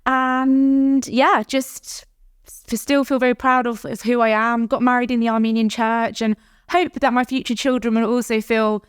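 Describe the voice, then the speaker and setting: A woman with a London accent talks in her thirties as a podcast guest, her voice smooth and clean with a dry, close sound. She tells stories in a chatty way and laughs easily. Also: fairly high pitch, level tone, normal speed, no echo. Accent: London English. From South London. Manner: warm, laughs often, chatty storytelling. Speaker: guest, startup founder. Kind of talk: podcast interview.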